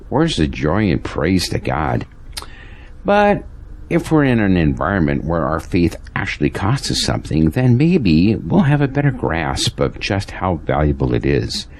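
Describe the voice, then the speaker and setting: A man's voice: sits low, darkish tone, slight background noise. A man acting as a devout, troubled pastor in an audio drama, speaking with earnest, preachy conviction. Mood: earnest, fervent.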